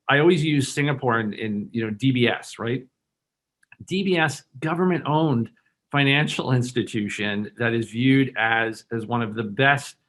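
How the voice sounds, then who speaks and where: A man's voice: mid-range voice, fairly bright tone. A man who grew up in Hong Kong, speaking as a bank executive on a webinar panel, earnest and persuasive with many restarts and "you know" fillers.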